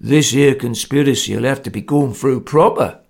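Casting: male reader playing stern Cockney conspirator chief, gravelly and husky, menacing, laconic, for an audio story. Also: mid-range voice, normal speed. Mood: grim. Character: grim, domineering male ringleader of bungling conspirators.

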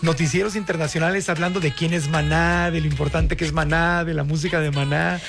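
Male radio host, quick and chatty, slightly high voice, music bed behind. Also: steady tone, gravelly, a bit bright. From Tijuana, Mexico. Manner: chatty, reads news items, quick back-and-forth. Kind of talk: news talk radio chat.

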